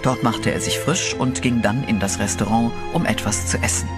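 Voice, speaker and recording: A man giving scripted third-person narration in an even, storytelling way for a horror radio play. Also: mid-range voice, normal speed. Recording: music bed under the voice.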